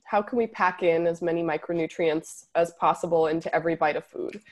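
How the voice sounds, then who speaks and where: Slightly hoarse female dietitian guest. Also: lowish voice, fairly even intonation, a little fast, darkish tone. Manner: fluent, explanatory, occasional laughs. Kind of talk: podcast interview.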